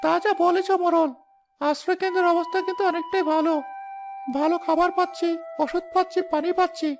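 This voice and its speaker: A smug cartoon village chairman, acted by a man speaks in a high-pitched voice over music. His manner is callous and self-satisfied. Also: flat delivery, fast talker. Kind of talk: animated moral story voice acting.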